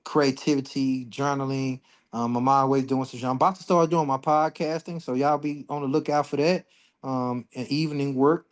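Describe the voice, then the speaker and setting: A man in his forties hosting an astrology livestream, talking casually and bluntly, with lots of profanity, and getting worked up when he rants. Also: medium pitch. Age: 40s.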